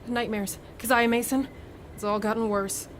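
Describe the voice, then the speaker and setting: An actress playing a haunted, anxious young student in a radio play, with a halting, uneasy delivery. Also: female voice, a little high, steady tone, a little hiss or hum, lightly acted. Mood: anxious, weary. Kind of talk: dramatic radio play acting.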